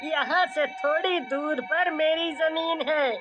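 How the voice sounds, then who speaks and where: Woman as a very slow, high-pitched male landowner. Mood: unhurried, businesslike. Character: unhurried male wealthy landowner hiring a digger. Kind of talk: cartoon story acting.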